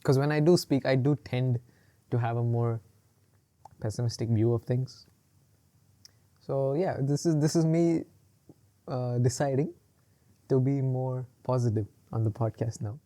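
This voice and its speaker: A man's voice: medium pitch, fairly even intonation, unhurried, pauses often, mellow. A man co-hosting a casual podcast, rambling along with lots of fillers and laughing often. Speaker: podcast co-host. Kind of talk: casual chat.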